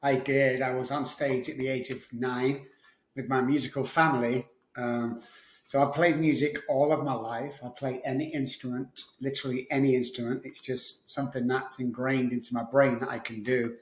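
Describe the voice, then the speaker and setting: A man's voice: medium pitch, level tone, moderate pace. A middle-aged man with a Manchester English accent, answering interview questions conversationally as a podcast guest. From Manchester, England. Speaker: podcast guest, addiction recovery specialist. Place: home office and recording studio. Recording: roomy, echoey office studio.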